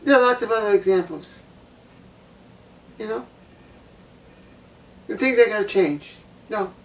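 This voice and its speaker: A woman with a low voice giving a blunt opinion monologue, repeating her points for emphasis. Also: lively intonation, unhurried, distant mic, low-quality recording. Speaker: vlogger.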